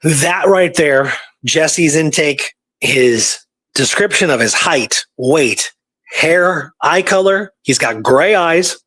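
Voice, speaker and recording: A man's voice: on the high side, expressive, takes it slowly, a bit bright, no room sound. A man co-hosting a casual history discussion, speaking emphatically and repeating points for stress. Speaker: co-host of a history talk show.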